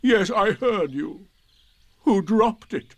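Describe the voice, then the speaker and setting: A man voicing a gloomy, self-pitying old male donkey in a storybook reading, speaking in a slow, mournful drawl. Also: high-pitched, expressive, no room sound, voice pushed up, heavily acted.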